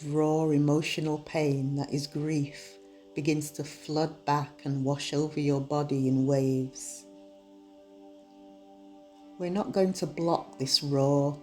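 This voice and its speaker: A woman guiding a meditation in a soothing, measured, unhurried manner. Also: low voice. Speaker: meditation guide. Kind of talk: guided meditation narration. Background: soft soothing music.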